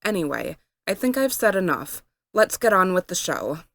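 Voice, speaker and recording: A woman narrates a story aloud in an expressive reading style. Her voice sits a little low, and the recording is dry and close. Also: normal speed, vocal fry, no room sound. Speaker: fan fiction reader.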